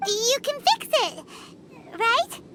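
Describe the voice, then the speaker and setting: A woman's voice: very high-pitched, big pitch swings, slow, heavy background noise, heavily acted. A woman voicing a small, childlike fairy companion in an anime video game, bubbly, blunt and exclamatory. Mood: curious. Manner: talks of herself in third person, exclamatory.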